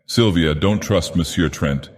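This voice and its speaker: A man's voice: sits low, measured pace. A man reading the lines of a saucy young woman. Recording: long reverb.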